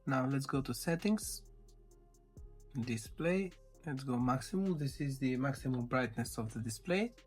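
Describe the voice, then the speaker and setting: A man's voice: mid-range voice, animated, a little slow, faint music behind. A man giving an informal, step-by-step tech review commentary as he handles a device. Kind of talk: casual unboxing walkthrough commentary.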